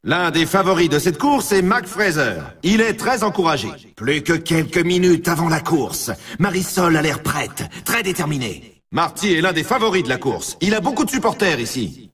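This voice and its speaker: A man playing an excited, upbeat snowboard race announcer in a video game, with showman commentary and a husky voice. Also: a little high, moderate pace, recorded from a distance, heavily acted. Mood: excited, anticipating.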